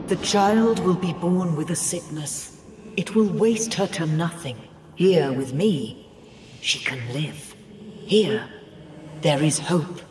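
Slow, solemn otherworldly female being. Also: deep voice, slight background noise. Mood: solemn, persuasive. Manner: measured, solemn, stately, deliberate phrasing. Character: otherworldly female being, solemn, possessive, coldly tender, would-be mother. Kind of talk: dramatic video game voice acting. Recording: loud game music behind the voice.

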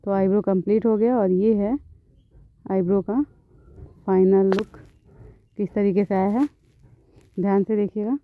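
A woman beautician calmly explaining eyebrow threading step by step, as she works, often repeating her phrases. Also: mid-range voice, unhurried, pauses often, phone-quality sound. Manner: calm, instructive, repeats phrases. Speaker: beautician, channel host. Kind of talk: step-by-step tutorial narration.

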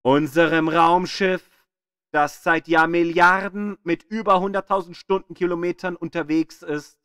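A man narrating a scripted astronomy audio guide in a deliberate, dramatic manner, repeating phrases for emphasis. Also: a little high, steady tone, fairly bright tone, smooth, no room sound, studio quality. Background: background music.

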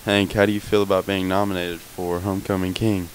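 Deep, flat teen boy interviewer. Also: brisk, slight background noise, rough recording. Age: teens. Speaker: student interviewer. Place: school hallway indoors. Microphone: handheld mic.

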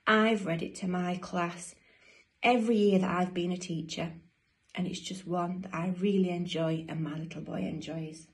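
A middle-aged woman, reading a picture book to young children as a teacher, pausing to ask them questions. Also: on the low side. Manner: slow, expressive delivery for young children. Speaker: infant school teacher reading a bedtime story. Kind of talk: children's storybook read-aloud with questions to listeners. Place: small domestic room indoors. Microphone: phone or tablet an arm's length or more away.

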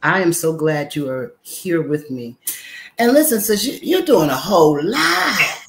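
A woman's voice: deep voice, sing-song, measured pace. A woman hosting a talk show, effusive, speaking to her audience and welcoming a guest. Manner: effusive, addresses audience as y'all. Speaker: host.

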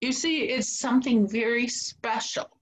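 A woman's voice: fairly high pitch, a little slow, lightly acted, rough recording. An older woman playing a flustered, admiring household maid in a fretful, exclamatory stage comedy style. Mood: flustered, worried. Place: indoors at home, video call.

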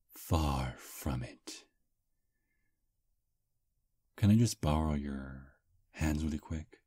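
Seductive vampire played by a man for ASMR roleplay: very deep, slow, murmured whispers. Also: husky, heavily acted. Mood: soothing. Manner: low soft hypnotic murmur, frequent whispers. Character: seductive male vampire, calm and predatory.

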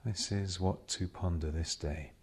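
A man leading a guided meditation as a spiritual teacher, speaking in measured, solemn short phrases with long pauses between them. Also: deep voice, steady tone, husky. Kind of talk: slow guided meditation talk.

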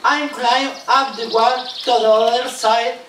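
A young woman voicing a bold, helpful monkey in an amateur puppet play, speaking deliberately. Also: fairly high pitch, fairly even intonation, a bit bright. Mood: confident. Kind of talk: amateur puppet show acting. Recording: echoey room, distant, noisy.

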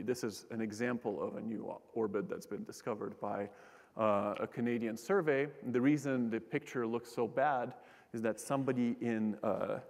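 A man's voice: medium pitch, darkish tone. Young man giving a casual lecture in a hall. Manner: casual and joking, with frequent fillers and restarts. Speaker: keynote speaker, astronomer. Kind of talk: public science talk. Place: large lecture hall stage. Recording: long hall reverb.

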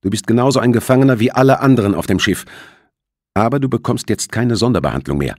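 A man's voice: mid-range voice, lively intonation, fast talker, a little mellow, no room sound, studio quality, voice pushed up, natural voice. Audiobook thriller: hard, curt woman terrorist played by a male narrator, voice pushed up, commanding. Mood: stern, commanding. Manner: commanding, severe. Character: stern, curt female terrorist with a pistol.

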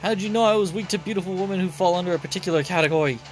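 A man's voice: high-pitched, rapid-fire, faint music behind, close to an everyday voice. A man voicing a vain, grandiose male knight from a game, full of drama. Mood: dramatic, aggrieved.

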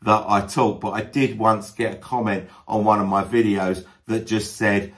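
A man with a fairly low voice speaks casually, as if answering questions for his own video channel. He is self-deprecating and jokey, and he fills his thinking time with frequent ums and uhs. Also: fairly even intonation, moderate pace, a bit bright. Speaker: YouTube video creator. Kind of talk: casual talk to camera, answering a list of questions.

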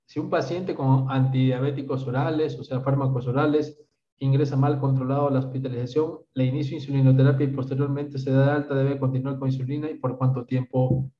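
A man's voice: medium pitch, little pitch movement, brisk, far from the mic. Peruvian man lecturing over a dull, roomy video call. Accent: Peruvian Spanish. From Peru. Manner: explanatory, often adds "¿está bien?". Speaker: doctor teaching a workshop. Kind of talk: online medical lecture answering questions.

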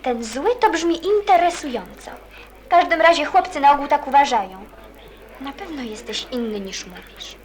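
Earnest high-voiced teenage girl, acted by a woman. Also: lively intonation, normal speed. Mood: challenging. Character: earnest, caring teenage girl, gently probing. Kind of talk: radio play acting. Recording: busy chatter of people behind. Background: crowd chatter, as in a café.

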